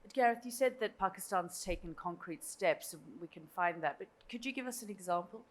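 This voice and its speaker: A woman moderating a panel, briskly managing questions in an informal spoken style. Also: medium pitch.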